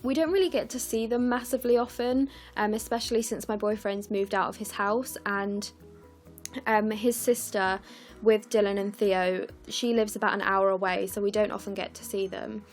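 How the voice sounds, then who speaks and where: A young woman from the UK, croaky and scratchy, chatting through her scrapbooking as a casual voice-over, with lots of ums and apologies. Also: mid-range voice, fairly even intonation, normal speed, faint music behind. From United Kingdom. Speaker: scrapbooking vlogger. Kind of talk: casual process video voice-over.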